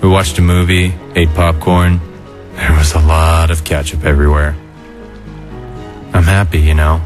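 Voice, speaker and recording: A man in an animated comic dub playing a weary, laid-back adult skeleton, brooding yet kind, with a low-key, reflective drawl. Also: moderate pace, over music. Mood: weary, guarded.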